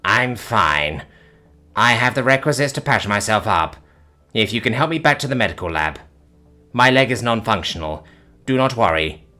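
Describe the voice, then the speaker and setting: Male narrator voicing an alien soldier, low-set, deadpan, formal. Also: moderate pace, faint music behind. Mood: calm, detached. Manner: flat, formal, deadpan delivery. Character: calm armored male alien, blunt, unemotional.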